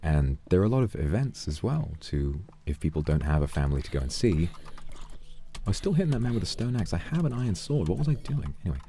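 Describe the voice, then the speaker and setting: Very deep gravelly-voiced man. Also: fast, slight background noise. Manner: calm, reflective, earnest; thanks viewers for donations. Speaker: streamer. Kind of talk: casual livestream chat while gaming.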